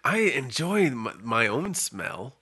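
A man's voice: mid-range voice, sing-song, slow pace, sharp tone, slightly rough. A young man with a stuffed-up nose, chatting in easygoing, laughing banter. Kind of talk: casual chat.

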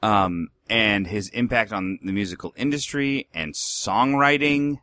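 A man's voice: fairly low pitch, measured pace, sharp tone, no room sound, clean studio sound. A man chatting casually about music, hesitant, with many fillers and restarts.

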